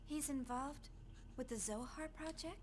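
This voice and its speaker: An actress playing a gentle, earnest young woman engineer in a video game cutscene, polite and tentative. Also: high-pitched, unhurried, a little hiss or hum. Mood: puzzled, concerned.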